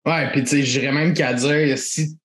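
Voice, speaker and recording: A man with a Québécois accent co-hosting a business podcast, explaining points in a lively way with English words mixed in. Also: on the high side, moderate pace, echoey room. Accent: Québécois French. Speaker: podcast co-host. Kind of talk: casual business chat.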